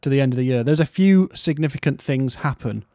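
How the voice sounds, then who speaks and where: A man's voice: mid-range voice, brisk, a little mellow, no echo, studio quality. A man co-hosting a casual music history podcast, rambling through stories in a playful way.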